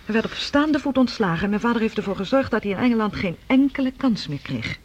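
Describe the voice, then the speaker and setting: An actress playing the earnest, formal adult daughter of a scientist in a radio drama, speaking persuasively. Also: female voice, on the high side, animated, fast talker. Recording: dull, lo-fi radio recording.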